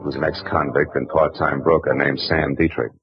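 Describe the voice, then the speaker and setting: Low hard-boiled detective narrator, wry; hissy, muffled vintage radio. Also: male voice, steady tone, fairly quick. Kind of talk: 1940s radio acting. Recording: hissy, dull old radio broadcast.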